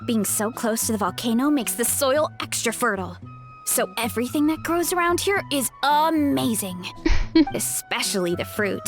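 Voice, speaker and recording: A woman's voice: on the high side, lively intonation, normal speed, faint music behind. A woman voicing a sweet, modest, self-doubting young fighter from a family orchard in a video game. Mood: cheerful. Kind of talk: video game character acting.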